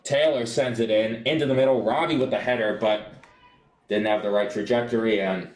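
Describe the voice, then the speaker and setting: A man's voice: mid-range voice, fairly quick. Young man calling soccer, roomy sound. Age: young adult. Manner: animated, descriptive calls. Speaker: play-by-play sportscaster. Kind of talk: live soccer radio commentary.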